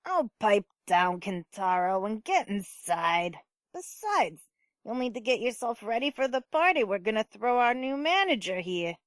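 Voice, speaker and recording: A brash, teasing mom, played by a woman in an audio play. Her voice is fairly high and swings freely, blunt and bossy, in a dry recording. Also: big pitch swings, unhurried, dry recording. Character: brash, teasing mother of a bratty boy. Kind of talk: fan audio drama acting.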